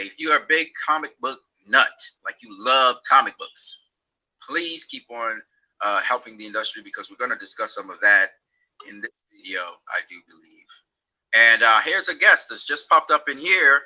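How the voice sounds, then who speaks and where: A man's voice: mid-range voice, bright, forceful tone, low-quality recording. A man from Trinidad and Tobago hosting a casual livestream chat on his phone, rambling and repeating words as he goes. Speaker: livestream host.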